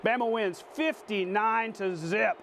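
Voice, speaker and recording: A man co-hosting a sports show, calling highlights in a punchy style with exclamations. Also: measured pace, fairly bright tone, slight background noise. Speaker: TV sports co-host. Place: TV studio.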